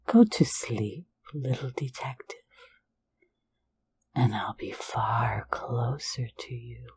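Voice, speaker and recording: A woman's voice: a bass voice, gravelly, slightly rough, exaggerated voice. A woman whispering as a sly phantom thief. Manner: soft intimate whisper, mocking and coaxing. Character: sly, teasing phantom thief, playful and flirtatious. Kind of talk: ASMR roleplay. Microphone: close mic for ASMR.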